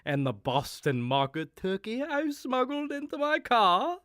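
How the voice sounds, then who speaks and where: A man's voice: very high-pitched, big pitch swings, unhurried, sharp tone, no room sound, exaggerated voice. Guy doing evil butler for a comedy audio drama, scheming n condescending, really swoopy, dry recording. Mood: gloating. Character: scheming villainous butler, vengeful, sneering, condescending.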